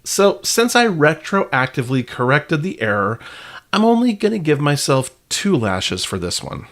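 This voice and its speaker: A man's voice: fairly high pitch, big pitch swings, moderate pace, a bit bright. A man hosting a podcast with casual, partly scripted commentary in a playful, self-deprecating manner. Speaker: podcast host.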